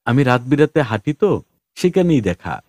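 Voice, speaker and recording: A wry wanderer in an audiobook story, played by a male reader. He speaks politely and without hurry in a mellow male voice, spinning tall tales with deference. The sound is close and dry. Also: mid-range voice, sing-song, moderate pace, dry recording. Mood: calm storytelling.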